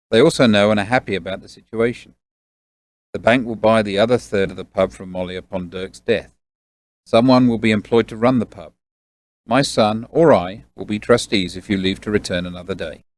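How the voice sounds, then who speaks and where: Man playing a low-voiced, fatherly family friend. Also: natural voice. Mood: reassuring, earnest. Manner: measured, avuncular, reassuring. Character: fatherly, loyal banker friend reassuring a young boy. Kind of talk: audio drama acting.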